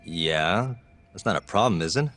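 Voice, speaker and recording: A man's voice: sits low, animated, normal speed, a bit bright, soft background music. An actor playing an easygoing, streetwise male private detective in an informal way for a video game dub. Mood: firm, unbothered.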